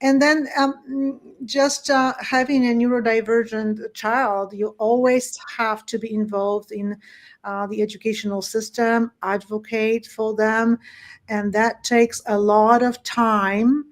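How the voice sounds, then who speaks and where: A woman's voice: a little high, flat delivery, slow pace. A woman who is a native Polish speaker with a Polish accent, talking conversationally about her own experiences as a podcast guest. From Poland. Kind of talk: conversational personal storytelling.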